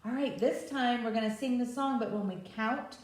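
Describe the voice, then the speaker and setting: Female children's librarian, higher-pitched, simple instructional delivery for little kids, very echoey distant recording. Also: moderate pace, darkish tone. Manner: simple, instructional, addressing young children directly. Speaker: children's librarian leading story time. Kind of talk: children's story time, explaining and leading action songs. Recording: echoey room, distant mic, faint noise.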